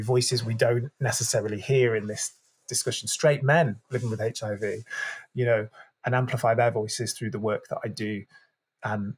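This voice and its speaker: Husky man, candid and reflective. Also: lowish voice. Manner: open, reflective, with frequent restarts and throat clearing. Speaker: podcast guest. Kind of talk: candid conversational interview.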